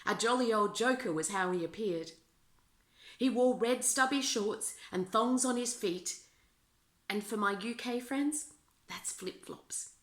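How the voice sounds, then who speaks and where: A woman reading rhyming children's verse aloud as an expressive storyteller. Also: a little high, a little slow. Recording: roomy, echoing indoor sound.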